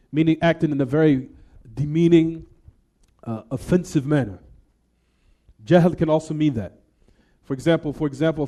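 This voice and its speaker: A man's voice: a little high, warm tone. Guy teaching a religious lesson, rattles off arabic recitation, keeps asking the class "what?". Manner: asks the class questions and answers them himself; recites Arabic text quickly between plain explanations. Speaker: Islamic teacher. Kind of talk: classroom lecture on hadith.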